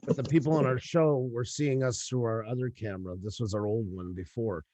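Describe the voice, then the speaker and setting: A man's voice: mid-range voice, brisk, warm tone, no echo. Man explaining a livestream camera setup. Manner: explains tech setups step by step, laughs easily. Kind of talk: casual livestream chat. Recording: dull lo-fi audio.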